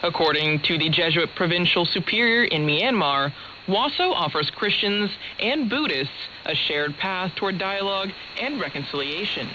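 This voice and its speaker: Female news presenter, mid-pitched voice, scripted introductions, muffled shortwave radio, clear static. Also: expressive, normal speed.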